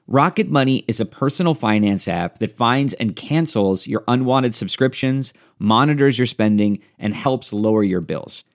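A man's voice: medium pitch, animated, normal speed, creaky, hardly any pauses. A man reading a sponsor advertisement in a chatty, persuasive testimonial tone. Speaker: ad narrator. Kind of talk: scripted radio ad read.

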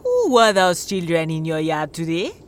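A woman's voice: on the low side, wildly swooping pitch, moderate pace, soft background music, pitched below the performer's own voice. A woman voicing curious, gossiping village women asking nosy questions.

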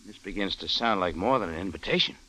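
Lively, wry adventurer. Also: male voice, low voice, fast, exaggerated voice, low-quality recording. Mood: wry, reluctant. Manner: casual, wry storytelling. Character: wry, easygoing American cafe owner and adventurer. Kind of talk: 1950s radio drama acting, first-person noir narration. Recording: vintage radio with music behind.

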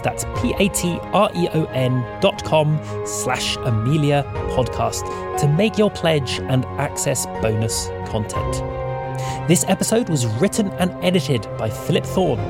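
A man reading a scripted podcast outro, with a listener appeal and credits, as the show's announcer. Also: on the high side. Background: loud music.

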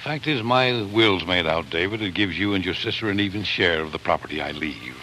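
Kindly, gruff elderly farmer for 1940s radio drama; deepish, hard-edged, measured. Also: male voice, lively intonation, bright, forceful tone, poor audio. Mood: gruffly kind. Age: older. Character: older pioneer farmer father, gruff but kindly. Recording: old 1940s radio recording, faint noise.

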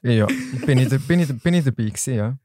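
A man's voice: medium pitch, lively intonation, fast talker, dark tone, no echo, clean studio sound. A young man from Solothurn with a Swiss German accent, a podcast guest talking in an easygoing, slightly hesitant way. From Solothurn, Switzerland. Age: young adult. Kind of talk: casual podcast chat.

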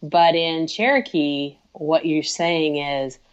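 A low-pitched woman from Oklahoma, speaking as an author on a podcast. She explains patiently, in the manner of someone who talks with schoolchildren. Her audio sounds dull and lo-fi. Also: slow, clean tone. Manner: warm, explanatory, like speaking to students. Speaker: guest author. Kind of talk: podcast interview.

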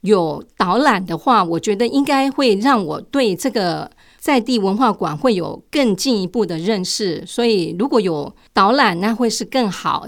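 An older retired woman with a Taiwanese Mandarin accent, answering interview questions conversationally as a guest and sharing her own experiences. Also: mid-range voice.

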